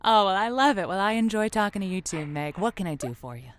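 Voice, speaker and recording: A woman's voice: mid-range voice, lively intonation, quick pace, no echo, close to an everyday voice. Woman playing a dry-sounding, indulgent radio advice host. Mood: welcoming. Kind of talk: semi-improvised audio comedy drama.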